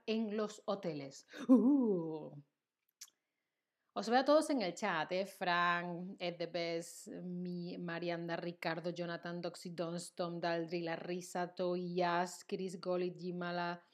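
A young woman from Seville with an Andalusian Spanish accent, hosting a playful live-stream Spanish lesson, chatting with viewers and laughing often. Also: fairly low pitch. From Seville, southern Spain. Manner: playful, chatty, laughs often, reads chat names aloud; lists words slowly with hesitant fillers.

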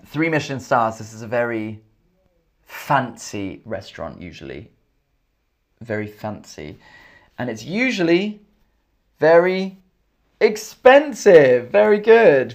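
A man with an English accent teaches English on a live stream, speaking slowly and clearly. His pitch rises and falls with a lot of movement as he repeats each question for learners. He stops often to greet and thank viewers from the chat. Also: sing-song, darkish tone. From England. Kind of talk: live online English lesson, reading chat comments. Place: indoors, in Scotland.

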